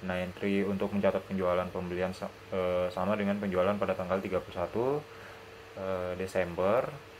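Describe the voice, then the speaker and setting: A man's voice: sits low, level tone, moderate pace, a little hiss or hum. A young man reading out a lesson presentation as a student. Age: young adult.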